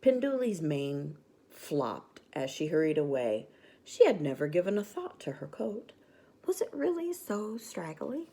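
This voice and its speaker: A woman reading a children's bedtime story aloud with expressive narration. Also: deep voice, measured pace.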